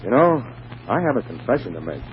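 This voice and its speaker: Man acting shy lovestruck bachelor, stammering and hesitant, swooping pitch, muffled old radio comedy recording. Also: very animated, quick pace, close to an everyday voice. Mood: flustered. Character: shy, bumbling, lovestruck bachelor, flustered and earnest.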